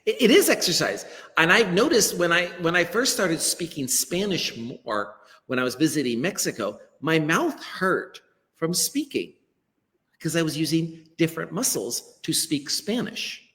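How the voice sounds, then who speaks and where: Middle-aged Western American man, earnest teacher on a video call, lively delivery, reverberant room. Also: a little high, normal speed. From western United States. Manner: earnest, emphatic with frequent restarts. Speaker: guest, language teacher. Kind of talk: live-stream interview. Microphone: webcam on a video call. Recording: long hall-like reverb.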